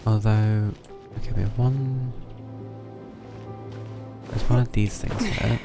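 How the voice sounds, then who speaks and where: Gravelly-voiced man casually streaming a game. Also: lowish voice, steady tone, slow. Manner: thinking aloud, tentative. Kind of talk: casual live gameplay commentary. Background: game music.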